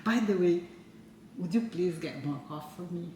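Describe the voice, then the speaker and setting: A Brazilian woman in her forties speaks English with a Portuguese accent, pausing often and laughing softly between her words. Her voice is fairly low for a woman. She sits a little away from the mic in an echoey room, with faint noise in the background. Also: far from the mic. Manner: halting, with fillers and soft laughs. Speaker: co-host. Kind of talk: casual couple chat. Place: home.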